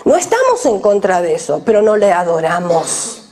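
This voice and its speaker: A woman's voice: medium pitch, sing-song, normal speed, a little mellow. A woman from Argentina, preaching a sermon to a women's gathering and questioning the audience directly.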